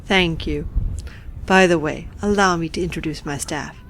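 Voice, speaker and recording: An actress playing a gracious but drily sardonic alien administrator in a sci-fi audio drama, formal and polished. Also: female voice, low-pitched, moderate pace, fairly bright tone, slight background noise. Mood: polite, smooth. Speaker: voice actor.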